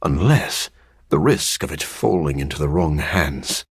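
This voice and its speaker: A man playing a brooding, scholarly living vampire in a video game, husky and formal, articulate and dryly sardonic. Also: very deep voice, animated, measured pace, no echo, clean studio sound, full character voice. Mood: earnest, reserved.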